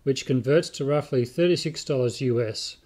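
A man in his fifties presents a scripted explainer. His voice sits at a medium pitch, and he reads as a host talking to his viewers. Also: moderate pace, a little mellow. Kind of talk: scripted narration to camera. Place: indoor room with bookshelves.

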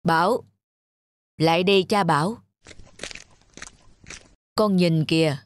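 A woman voicing a patient, wise village father and teacher in a moral cartoon, speaking in a measured, instructive way. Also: on the low side. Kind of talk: cartoon dialogue, moral storytelling. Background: music.

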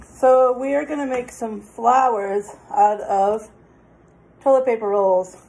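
Slow, mellow middle-aged woman giving craft instructions. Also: fairly high pitch, fairly even intonation, low-quality recording. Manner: plain, pausing while demonstrating. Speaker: craft demonstrator for children. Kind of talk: informal step-by-step craft instruction. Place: indoors, dining table in a home.

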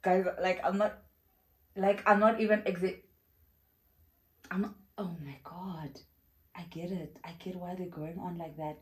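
A woman's voice: fairly low pitch, fairly even intonation, measured pace, pauses often. A young woman from South Africa chatting effusively in a casual perfume review vlog, filmed at home on a camera in front of her. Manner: chatty and effusive, with exclamations and fillers. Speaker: vlogger. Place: home room with shelves, indoors.

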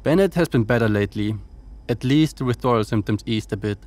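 An actor playing a wry, dutiful officer logging reports on a space station in an audio drama, with dry, teasing delivery. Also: male voice, mid-range voice, expressive, a little fast, a little mellow, close to an everyday voice. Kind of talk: audio drama log monologue and dialogue.

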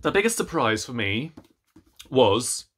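A man in his thirties chatting casually to camera as a book vlogger, playful, rambling and animated, with self-deprecating asides. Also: mid-range voice, unhurried, slightly rough. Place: small room at home with bookshelves.

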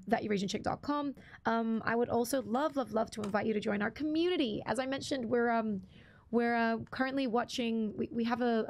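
A woman's voice: on the high side, creaky. A young woman streaming a game, chatting casually, greeting viewers and reading chat aloud with many ums. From half English, half Bruneian. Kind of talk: casual live-stream chat while gaming.

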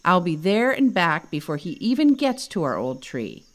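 A woman's voice: lowish voice, sing-song, moderate pace. A woman voicing a mischievous, eager little boy monkey in a storybook, exclaiming and wheedling. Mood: confident. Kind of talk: storybook character voice.

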